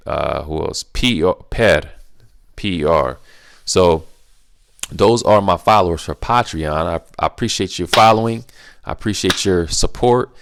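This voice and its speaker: Casual male host, very low voice, loose rambling delivery with lots of restarts. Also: dry recording, clean studio sound. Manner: rambling, repeats himself, restarts often; laid-back, playful teasing. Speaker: YouTube Q&A host. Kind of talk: casual solo Q&A monologue.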